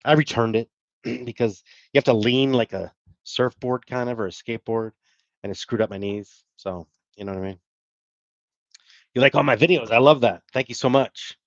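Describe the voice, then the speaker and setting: A man's voice: mid-range voice, moderate pace, dry recording, highs cut off. A middle-aged man hosting a livestream, chatting casually and rambling as he answers viewer comments. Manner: rambling, self-deprecating, with fillers and laughs. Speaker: livestream host.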